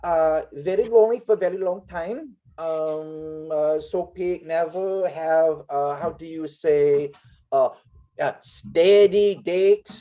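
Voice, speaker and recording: A woman playing a shy, lonely foreign man who speaks halting broken English. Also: sits low, warm tone. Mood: bashful.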